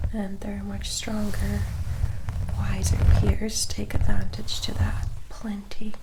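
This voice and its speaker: A woman playing a gentle, formal vampire attendant in an ASMR roleplay, speaking in a soft, soothing and caring manner. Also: medium pitch, very noisy.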